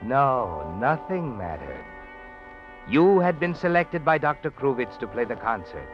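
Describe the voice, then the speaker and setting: An American actor playing a sardonic all-knowing storyteller, narrating a 1940s radio thriller in a pointed, suspenseful second person. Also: male voice, medium pitch, big pitch swings, a little slow. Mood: knowing, ominous. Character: omniscient, sardonic male storyteller needling the protagonist. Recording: dull vintage radio with music bed. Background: dramatic music.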